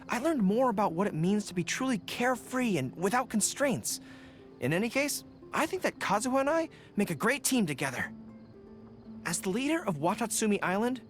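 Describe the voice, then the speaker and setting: A man's voice: high-pitched, a bit bright. An actor playing an earnest, spirited young male army general in a video game, speaking sincerely. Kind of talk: video game character voice lines.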